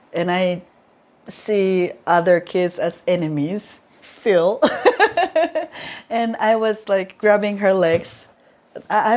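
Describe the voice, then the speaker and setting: A woman's voice: fairly low pitch, darkish tone. A young Japanese woman with a Japanese accent, telling stories in a casual interview and laughing often. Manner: chatty, laughs often, playful storytelling. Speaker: former reporter, interview guest.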